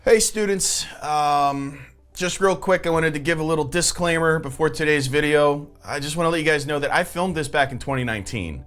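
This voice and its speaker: A man's voice: a little high, a little fast, fairly bright tone. A man hosting a satirical comedy video with mock-sincere, ironic, exaggerated enthusiasm. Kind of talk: satirical sketch presenting.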